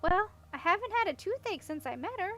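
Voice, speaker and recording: A woman's voice: very high-pitched, sing-song, brisk, fairly bright tone, pitched above the performer's own voice, heavily acted. A woman voicing an awkward, unlucky young princess in a storybook, earnest and rambling as she explains herself. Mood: earnest storytelling. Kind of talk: audiobook character voice.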